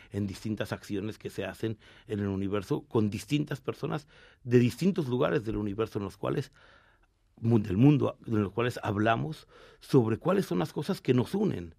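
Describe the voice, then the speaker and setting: A man, a rabbi from Argentina with a Mexican Spanish accent, answering interview questions in a measured, explanatory way with some restarts. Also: fairly low pitch, vocal fry, mellow, dry recording, studio quality.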